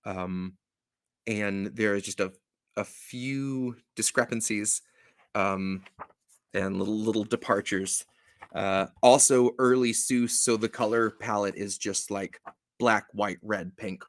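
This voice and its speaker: Casual male host with creaky fry. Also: fairly low pitch, fairly even intonation, takes it slowly. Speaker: show host. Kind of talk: casual introductory chat.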